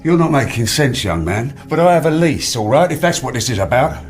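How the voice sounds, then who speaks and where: An actor playing a curt, defensive older British lodger, a former prison officer, in a radio drama. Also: male voice, medium pitch, big pitch swings, a little fast, faint music behind, close to an everyday voice. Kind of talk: naturalistic radio drama acting.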